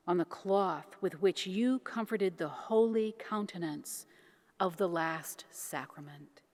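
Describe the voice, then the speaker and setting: Slow, solemn woman reader in long reverb. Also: mid-range voice, a little mellow. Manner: deliberate, reverent, measured phrasing. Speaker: reverend reading devotional poetry. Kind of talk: solemn poetry reading.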